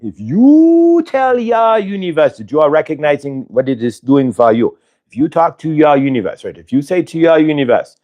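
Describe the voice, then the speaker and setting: A man voicing a benevolent otherworldly spirit collective in an affected, vaguely Indian-sounding accent, with drawn-out emphatic words, as in a live channeled healing session. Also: fairly high pitch, big pitch swings, highs cut off. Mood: insistent, wondering. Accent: affected, vaguely Indian-sounding put-on accent.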